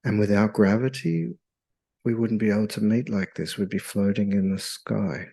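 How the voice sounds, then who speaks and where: A man leading a guided meditation in a soothing, hypnotic, measured way with long pauses. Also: fairly low pitch, gravelly, dark tone.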